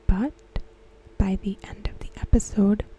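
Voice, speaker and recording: A woman softly whispering a scripted recap in ASMR style, close to the microphone, with gentle, deliberate phrasing. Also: mid-range voice, steady tone, highs cut off. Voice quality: soft, breathy, mostly whispered. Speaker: ASMR host. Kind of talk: ASMR, softly reading a scripted episode synopsis.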